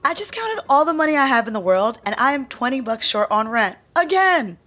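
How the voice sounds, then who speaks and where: Sarcastic stubborn young sitcom woman, highish, really animated voice. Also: fairly quick, faint music behind, full character voice. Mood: stubborn, wry. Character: broke, stubborn, sardonic young woman, Ellie, a sitcom roommate. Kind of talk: sitcom acting.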